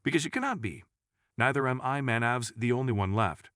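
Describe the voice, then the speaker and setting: A man's voice: medium pitch, expressive, brisk, a bit bright, no echo, clean studio sound, close to an everyday voice. A man voicing a determined, agitated male friend set on confrontation in a crime story.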